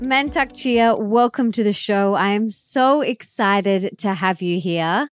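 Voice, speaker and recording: A woman's voice: medium pitch, slow. Enthusiastic lady doing a podcast intro and interview, midrange voice, bit of music behind. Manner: effusive, enthusiastic.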